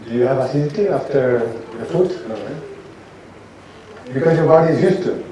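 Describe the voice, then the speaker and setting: A man's voice: a little high, warm tone. Man lecturing in an echoey hall. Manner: halting, restarts sentences, asks audience rhetorical questions. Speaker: lecturing doctor. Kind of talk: informal lecture to an audience. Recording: echoey hall, from a distance, faint noise.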